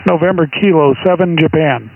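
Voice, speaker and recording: A man's voice: on the high side, fairly quick, creaky. Man giving radio callsigns, dull noisy recording. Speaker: amateur radio operator. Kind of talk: clipped radio callsign exchanges. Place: small home radio room. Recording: dull, noisy room pickup.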